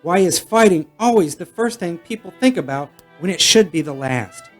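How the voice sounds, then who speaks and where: An actor playing an earnest, wholesome young space hero in a corny, declamatory retro radio serial style. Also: male voice, high voice, animated, moderate pace, faint music behind. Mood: earnest pleading. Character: earnest, wholesome boyish space hero, gee-whiz good manners.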